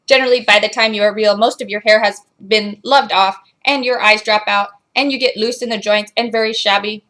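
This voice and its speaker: A woman's voice: mid-range voice, steady tone, fairly quick, pushed and bright, lightly acted. A woman voicing a robot that reads a children's storybook aloud in a robotic delivery. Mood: mechanical, measured. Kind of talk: scripted storybook narration.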